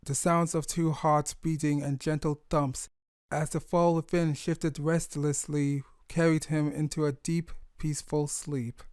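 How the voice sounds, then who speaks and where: A man's voice: a little high, level tone, measured pace, a little mellow, smooth, dry recording, studio quality. A man reading scripted story narration in an earnest, sentimental way. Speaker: fan fiction narrator.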